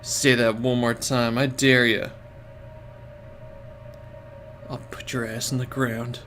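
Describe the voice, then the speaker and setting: A man voicing a hot-headed young gang leader in a game, rough and threatening. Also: medium pitch, little pitch movement, normal speed, fairly bright tone, faint music behind, close to an everyday voice. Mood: menacing.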